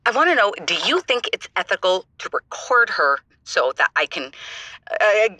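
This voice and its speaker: Sleep deprived writer calling radio show, stammery, super bright sharp voice, dry sound. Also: female voice, medium pitch, big pitch swings, moderate pace, dry recording. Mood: hooked, frazzled. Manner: stammering, breathlessly keen, repeats herself. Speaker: caller.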